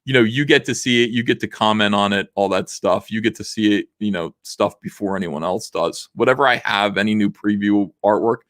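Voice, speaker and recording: A man in his forties hosting a casual livestream, reading viewer messages aloud, rambling with lots of fillers while his voice is going rough. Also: on the low side, fairly quick, few pauses, a bit bright. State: losing his voice. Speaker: livestream host. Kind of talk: casual livestream chat reading super chats.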